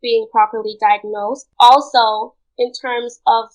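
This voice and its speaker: A woman's voice: fairly high pitch, flat delivery, slow, poor audio. A woman from Guyana speaking as an interview guest, explaining things in an informal, conversational way. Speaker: interview guest, cancer researcher.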